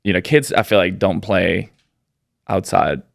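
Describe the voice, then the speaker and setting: Podcast guest: man in his thirties from Poland, very low and smooth voice, dry close sound, easygoing and rambling. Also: measured pace, no echo. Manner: relaxed, rambling, many fillers. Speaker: podcast guest, business owner. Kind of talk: casual interview answers.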